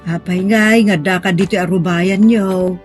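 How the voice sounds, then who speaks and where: A woman playing a pious, motherly older woman who gives measured moral counsel in an Ilocano melodrama. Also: mid-range voice, steady tone, faint music behind, close to an everyday voice. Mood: grave, admonishing. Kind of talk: Ilocano radio-style melodrama.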